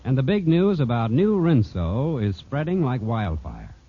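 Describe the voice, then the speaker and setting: A man's voice: mid-range voice, sing-song, unhurried, husky. A man announcing a scripted 1940s radio advertisement in an emphatic, polished selling manner. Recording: muffled, noisy old radio recording.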